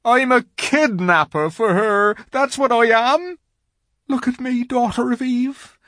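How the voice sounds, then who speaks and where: A storybook narrator voicing a gloomy, guilt-ridden male fawn who sobs and wails in a slow, self-reproaching way. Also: mid-range voice, a bit bright, no echo, studio quality, pitched above the performer's own voice, close to an everyday voice. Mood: anguished confession. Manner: sobbing, wailing, drawn-out delivery.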